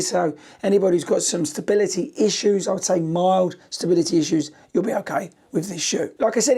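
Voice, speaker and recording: A British man, a running coach, talking casually to camera in a shoe review while nearly losing his voice, croaky and hoarse. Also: high voice, warm tone. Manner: chatty, cheeky, direct to viewers.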